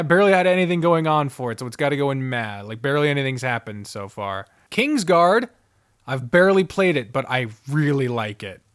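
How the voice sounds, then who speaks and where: A man giving casual, off-the-cuff ranking commentary in an animated way, speaking straight to the things being ranked and swearing freely. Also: medium pitch, clean tone. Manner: animated, addresses the games directly, swears freely. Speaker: video host ranking visual novels.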